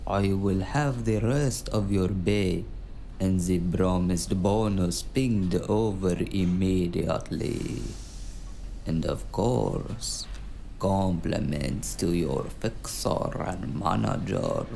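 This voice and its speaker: Calculating gentleman client played by a man: creaky, mellow, unhurried, formal speech, audio drama with some background noise. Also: low voice, lively intonation, exaggerated voice. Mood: courteous, satisfied. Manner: formal, measured, courtly. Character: formal, smooth-mannered, calculating male business client.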